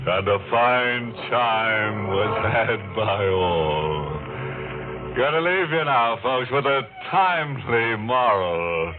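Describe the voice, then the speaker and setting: A man's voice: medium pitch, animated, slow. A man playing a ghoulish, gleefully morbid radio horror host with a sardonic, theatrical drawl. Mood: morbid glee. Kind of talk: 1940s radio horror hosting. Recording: tinny, band-limited old radio, music behind.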